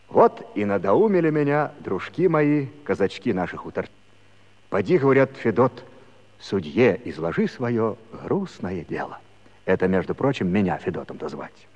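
Folksy, swooping aggrieved Cossack villager. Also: male voice, mid-range voice. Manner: folksy, drawling, plaintive, dramatic. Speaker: narrating character. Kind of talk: theatrical musical comedy storytelling. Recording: reverberant hall-like studio recording.

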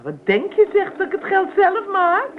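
A woman's voice: very high voice, big pitch swings, normal speed. A woman playing a delighted, homely, chatty middle-aged mother in a radio play. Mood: cheerful, excited. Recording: noisy, dull old radio recording.

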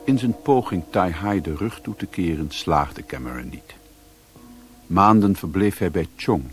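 An actor playing a reflective narrator in a radio drama, husky, measured, sombre and detached. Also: male voice, low-pitched, expressive, faint music behind. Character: reflective male narrator recounting life abroad.

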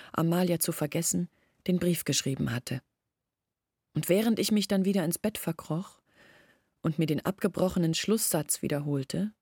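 An actress in a German radio play playing an earnest village woman who recounts events in a measured, literary way. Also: on the low side, a little mellow, slightly rough, no echo, studio quality.

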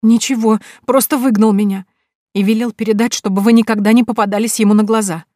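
A woman voicing a tearful young female impostor who pleads in a high, sobbing voice. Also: fairly even intonation, quick pace, warm tone, dry recording, lightly acted. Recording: dry close recording.

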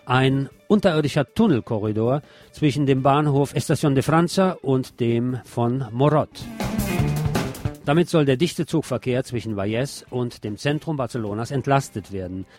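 A man's voice: medium pitch, normal speed. A man presenting scripted local news with opinionated commentary, in the role of a radio show host. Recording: music playing behind the voice.

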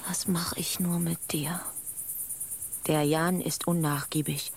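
A woman's voice: low voice, fairly even intonation, slow, vocal fry, slightly rough, full character voice. A woman playing a tender, worried fisherman's wife in a radio play, motherly and pleading. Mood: tender, troubled. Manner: pleading, motherly, breathless urgency when alarmed. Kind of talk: German radio drama acting.

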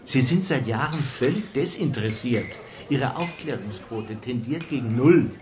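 A man's voice: medium pitch, lively intonation, normal speed, crowd noise, lightly acted. Actor as exasperated, scolding male police chief. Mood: indignant. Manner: indignant, officious, reproachful. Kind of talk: radio drama acting.

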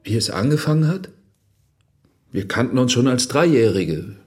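A man's voice: medium pitch, lively intonation, normal speed, a little mellow, soft background music, close to an everyday voice. An actor playing a candid, bewildered married man in a radio drama, giving plain answers. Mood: frank. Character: questioned married man, frank, bewildered by affair. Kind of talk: German radio drama acting.

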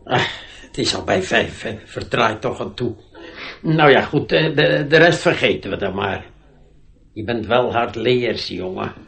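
An actor playing a grumbling but lenient schoolmaster in a radio drama. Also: male voice, medium pitch, measured pace, vocal fry, a bit bright. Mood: mildly exasperated.

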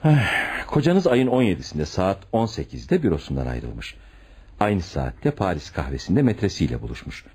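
A hard-nosed police commissioner in a radio play. His voice is deep and husky, with animated rises and falls. He is curt, sarcastic and suspicious as he presses his questions. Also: normal speed, heavily acted. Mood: sarcastic, accusing. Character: blunt, tactless, suspicious male police commissioner. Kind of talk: radio theatre acting.

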